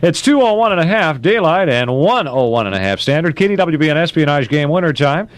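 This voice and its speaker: A man's voice: fairly high pitch, sing-song, brisk, dry recording. A man working as a 1960s top-40 radio disc jockey in a studio, giving breezy, punning patter with the time and temperature between records.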